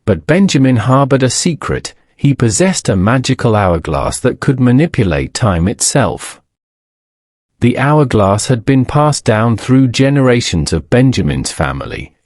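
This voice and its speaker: A man reading a scripted bedtime story as a narrator, in a measured, solemn storybook manner. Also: mid-range voice, big pitch swings, a little mellow, no room sound, studio quality.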